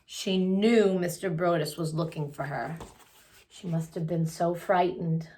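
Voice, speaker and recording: A woman's voice: on the low side, fairly even intonation, unhurried, dark tone. A woman reading a children's picture book aloud, phrasing it expressively and adding simple explanatory asides for young listeners. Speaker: children's story reader.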